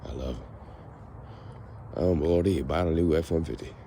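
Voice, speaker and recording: A young man with a raspy, hoarse voice, rambling to the camera as he walks outdoors in a casual vlog. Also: very deep voice, normal speed, warm tone. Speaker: vlogger. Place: outdoors, walking on a foggy street. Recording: noisy outdoor recording with passing traffic.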